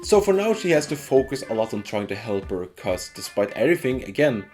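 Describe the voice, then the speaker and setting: A man's voice: mid-range voice, big pitch swings, brisk, a little mellow. A man giving casual, informal commentary as a video game reviewer, ranking things with emphatic opinions. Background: background music.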